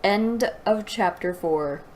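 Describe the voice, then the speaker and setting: A woman reads from a book and picks it apart as a reviewer. Her pace is unhurried and her tone is sarcastic and exasperated. A faint noise sits behind her voice in what sounds like a home recording. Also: mid-range voice. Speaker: host of a book review show. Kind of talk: mocking read-aloud book review with commentary.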